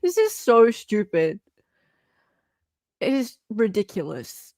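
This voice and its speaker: A woman hosting a live stream, chatting and reacting in an expressive, emphatic way. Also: medium pitch, slow, no room sound, studio quality. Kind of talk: casual chat and reaction.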